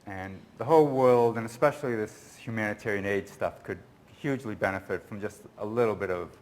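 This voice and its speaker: Low-voiced man giving a rambling answer. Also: fairly quick, a little mellow. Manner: rambling, self-correcting, earnest. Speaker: conference speaker. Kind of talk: Q&A answer at a conference.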